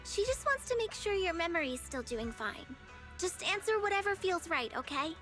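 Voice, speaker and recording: A woman's voice: very high voice, very animated, normal speed, fairly bright tone, exaggerated voice. An actress playing a cheerful, playful young female companion in an anime game, breezy and friendly. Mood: breezy, businesslike. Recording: game music underneath.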